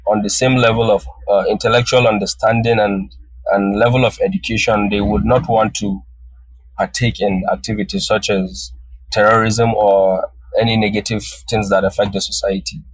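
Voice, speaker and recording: A man's voice: fairly low pitch, level tone, moderate pace, dry recording, rough recording. A man from northern Nigeria whose first language is Hausa, answering interview questions thoughtfully and often restarting his phrases. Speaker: guest photographer.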